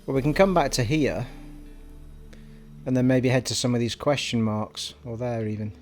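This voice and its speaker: Chatty Scottish-born streamer, English accent. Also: male voice, medium pitch, moderate pace, faint music behind. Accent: generic English. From Scotland. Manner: chatty, self-deprecating, thinks out loud. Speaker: game streamer. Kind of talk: live gameplay commentary. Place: home streaming room.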